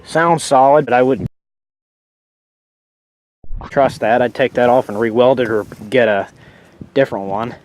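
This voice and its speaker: A sniffly man in a casual vlog, rambling about a tractor's restoration with restarts and asides. His voice sits mid-range, recorded outdoors on a handheld camera mic. Clear background noise runs under the speech. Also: medium pitch, fairly even intonation, measured pace, pauses often. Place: outdoors by an old tractor on a sunny day.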